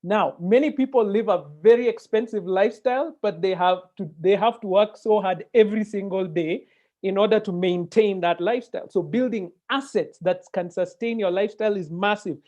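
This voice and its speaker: A man with a Kenyan accent moderating a webinar conversationally, animated and given to digressions and interjections. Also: sits high, brisk. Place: indoor room, video call. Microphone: earphone mic on video call. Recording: dull, lo-fi video-call audio.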